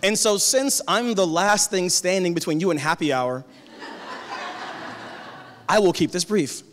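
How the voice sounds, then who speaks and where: A man delivers a keynote talk on a conference stage into a handheld mic. His voice sits a touch high, and his delivery is measured and conversational, sometimes rising into sermon-like emphasis. A long hall reverb trails his words. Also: slight background noise. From Tacoma, Washington. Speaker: keynote speaker, high school teacher. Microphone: handheld cordless mic.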